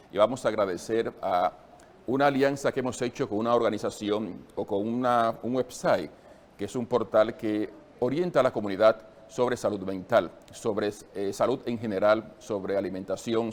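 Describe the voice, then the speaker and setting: A man hosting a talk show interview, chatting in a lively, conversational way. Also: on the low side.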